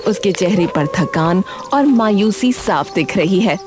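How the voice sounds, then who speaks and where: A woman's voice: medium pitch, animated, a little slow, over music. A woman narrating a scripted cartoon story.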